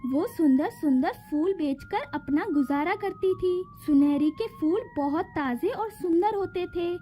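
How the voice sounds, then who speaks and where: A woman's voice: very high-pitched, a little slow, warm tone, soft background music. A woman narrating a children's fairy tale from a script.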